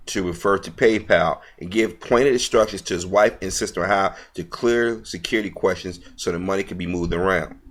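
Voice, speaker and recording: A man reading news aloud as an online commentator, stumbling over words here and there and speaking in an earnest, opinionated way. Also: low-pitched.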